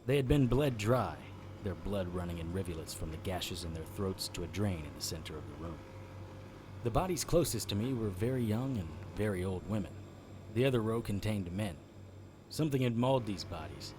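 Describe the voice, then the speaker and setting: Hard-boiled monster hunter male actor, lowish voice, dry deadpan delivery, first-person audio drama narration. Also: a little fast, background noise, lightly acted. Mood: grim, tense.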